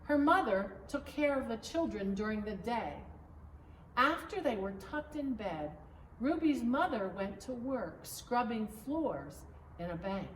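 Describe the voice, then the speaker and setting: Slow, echoey woman reading a storybook. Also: on the high side, big pitch swings. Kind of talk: slow read-aloud storybook narration. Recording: echoey room, distant mic, faint noise.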